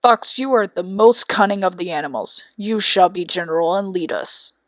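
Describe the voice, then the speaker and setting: A woman's voice: on the low side, normal speed, fairly bright tone, no room sound, natural voice. A woman voicing a scornful, commanding male bear in a storybook. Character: gruff, insulting male bear, commander of beasts. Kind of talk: storybook character voice.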